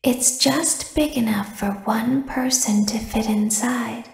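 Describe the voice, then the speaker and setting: A woman voicing an eerie, childlike animatronic girl in a video game, wistful and sinister, speaking in a measured, detached way with deliberate pauses. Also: fairly high pitch, fairly even intonation, creaky, a little mellow. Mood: hushed, menacing. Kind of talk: video game character monologue. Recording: echoey hall with long reverb.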